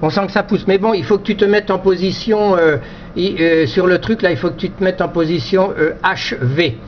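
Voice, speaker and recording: A male radio amateur with a slightly high voice explains things casually, in choppy phrases that he often repeats. The sound is a little dull, with faint noise behind him. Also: normal speed. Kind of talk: casual technical radio chat.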